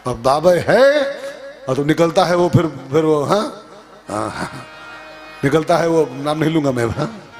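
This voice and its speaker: A man delivers a religious discourse in a fairly high voice over faint music and tabla. He teaches like a storyteller, stressing points by repetition. Rhetorical questions punctuate his emphatic delivery. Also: sing-song, unhurried, pauses often, darkish tone. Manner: emphatic, repeats phrases, asks rhetorical questions.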